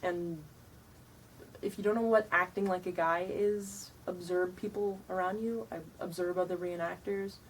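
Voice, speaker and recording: A young woman with a deeper voice giving frank, chatty advice to camera, sounding tired, with many ums and likes. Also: steady tone, normal speed, far from the mic, a little hiss or hum, poor audio. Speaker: vlogger giving reenactor tips. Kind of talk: casual advice talk to camera.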